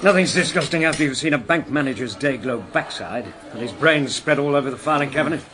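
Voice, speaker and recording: A man's voice: mid-range voice, fast, close to an everyday voice. An actor playing a blunt, mocking male reporter with a wry, teasing manner in a radio drama. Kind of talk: BBC radio comedy drama acting. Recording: noisy ambient background.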